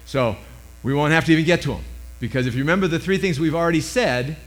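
A man in his sixties with a loud voice, preaching a sermon into a microphone with emphatic swings between loud and hushed. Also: on the high side, fast talker, soft background music.

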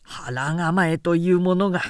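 A woman's voice: on the low side, measured pace, a little mellow, dry recording, clean studio sound, lightly acted. A woman voicing a garrulous, self-important middle-aged samurai man who lectures at length in a storybook reading. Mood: pompous, rambling. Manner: long-winded, pompous, lecturing.

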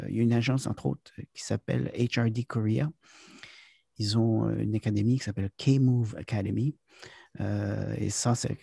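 Gravelly, husky Québécois man talking. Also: lowish voice, mellow, dry recording, studio quality. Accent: Québécois French. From Quebec. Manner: hesitant, many fillers and restarts. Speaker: guest, trainer and author. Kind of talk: casual interview talk.

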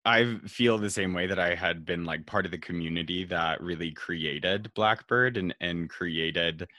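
A young man with a very low voice talks casually, rambling and restarting with plenty of fillers. The recording is dry and close, with no room sound. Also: unhurried, bright, forceful tone, no echo. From rural Nevada, USA. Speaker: marketing staff guest. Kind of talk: casual podcast chat.

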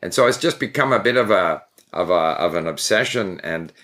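Deep-voiced older man, relaxed storyteller. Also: brisk. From Canada. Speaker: guest. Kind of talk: interview conversation.

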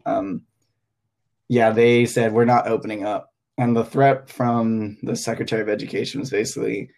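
A man's voice: lowish voice, fairly even intonation, normal speed, gravelly, darkish tone. A young man from Hong Kong, a teacher and podcast guest, talking casually and hesitantly, thinking aloud with many fillers.

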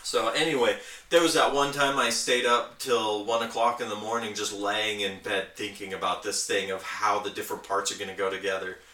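A man's voice: lowish voice, little pitch movement, brisk, hardly any pauses, sharp tone. A man chatting casually as a podcast host, explaining his hobby with nerdy enthusiasm. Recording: roomy, echoey, distant from mic.

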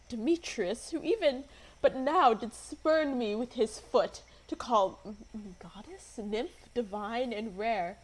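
Wounded young heroine for Shakespeare radio drama, played by a woman: highish voice, slow deliberate verse, indignant and theatrical. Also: poor audio. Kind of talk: amateur Shakespeare radio play acting.

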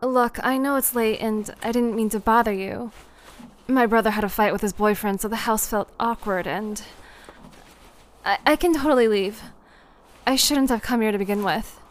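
A woman's voice: fairly high pitch, moderate pace, lightly acted. A woman playing a charismatic young newcomer, polite and a little hesitant but playful, in an audio drama. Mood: awkward, apologetic. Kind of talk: naturalistic audio drama acting.

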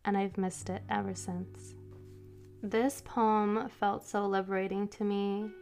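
A woman hosting her own reflective podcast, congested from a cold and speaking slowly and gently as she reads her own poetry. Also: mid-range voice, creaky. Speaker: podcast host.

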